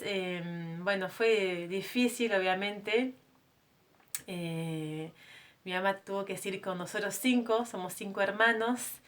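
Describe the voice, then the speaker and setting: A woman's voice: mid-range voice, fairly bright tone. A woman in her thirties from France, giving her missionary testimony to a group of women in an earnest, conversational way, with frequent hesitations and self-corrections. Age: 30s.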